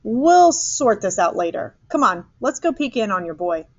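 A woman's voice: medium pitch, big pitch swings, normal speed, no room sound, highs cut off, voice pushed up. Woman reading as a flustered lady hospital doctor. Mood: concerned.

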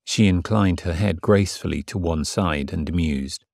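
An actor playing a lovesick, submissive gentleman in an audiobook drama, earnest and measured, with a husky voice. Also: low-pitched, no echo, studio quality. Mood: reflective. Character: Severin, lovesick, submissive gentleman narrating his passion. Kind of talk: dramatised first-person audiobook narration.

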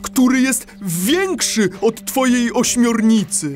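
A proud young cartoon hippo boy acted by a woman in a kids' audio play. The boyish voice is fairly high and unhurried, with music behind it. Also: animated. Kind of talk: children's audio play acting.

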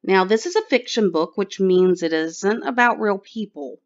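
A woman's voice: mid-range voice, normal speed, dull audio. A woman reading a picture book aloud expressively for children. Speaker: children's story reader.

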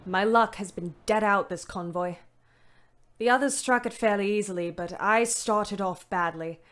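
An actress playing a weary, bitter young ambulance driver, narrating a Great War audio drama in a wry, dramatic way. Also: female voice, medium pitch, moderate pace, a bit bright, close to an everyday voice. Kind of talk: first-person audio drama monologue.

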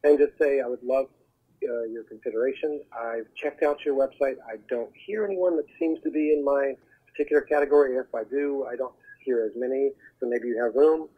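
Man, phone-line sound, slightly husky, conversational, earnest, halting, interview guest. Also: mid-range voice. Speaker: voiceover talent agent, podcast guest. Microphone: telephone call. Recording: muffled narrow phone line.

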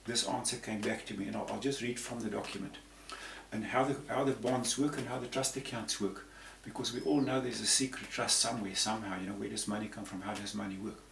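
Man, 60s, from Zambia, rambling explainer, gravelly, echoey room, faint hiss. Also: fairly low pitch, steady tone, fast talker, a bit bright. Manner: rambling, repeats words. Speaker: panel guest. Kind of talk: conversational explanation. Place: room with patterned tapestry backdrop. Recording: roomy, distant, faint hiss.

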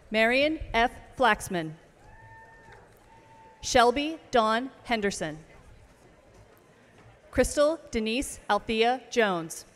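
A woman reading out graduate names at an outdoor commencement, slow and measured, over a PA system. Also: fairly high pitch, a bit bright. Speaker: name reader at commencement. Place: outdoor ceremony stage. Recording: long reverb of outdoor PA.

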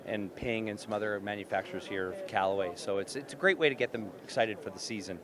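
A middle-aged man answers interview questions off the cuff. His voice is on the low side and moves quickly, with a busy convention crowd clearly behind him. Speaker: sports association president. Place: large indoor exhibition hall. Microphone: handheld reporter mic.

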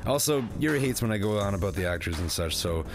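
A man's voice: fairly low pitch, animated, fast. A man hosting a casual, comedic movie review in a jokey way, with some theatrical announcing. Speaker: review show host. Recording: music playing behind the voice.

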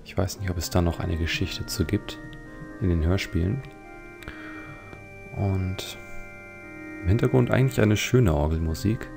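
A man's voice: low voice, unhurried, vocal fry, pauses often, over music. A man hosting a let's play, giving leisurely, reflective commentary on a video game.

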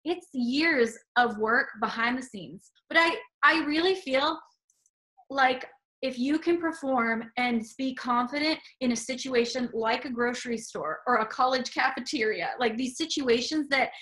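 A woman with a high, bright voice hosts a video-call interview, eager and emphatic. She speaks in her thirties' easy manner, recorded through a webcam in a small room indoors. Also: unhurried, poor audio. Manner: enthusiastic, emphatic. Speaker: host.

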